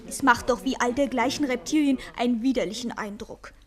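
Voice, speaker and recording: An actress playing a precocious, prim teenage daughter in a radio play, speaking like a little know-it-all. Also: female voice, fairly high pitch, brisk, natural voice. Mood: fastidious.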